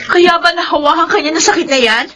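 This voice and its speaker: High-voiced, anxious Filipina big sister. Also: female voice, fast, bright, forceful tone. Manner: anxious, insistent questioning. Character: worried, bossy older sister. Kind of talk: Filipino radio drama acting.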